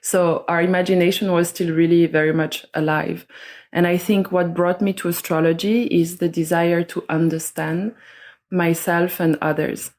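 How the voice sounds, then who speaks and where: Interview guest: middle-aged woman from Switzerland, low and even-toned, slow and searching. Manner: slow, soft-spoken, searching for words. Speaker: guest, somatic educator and astrologer. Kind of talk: reflective interview talk.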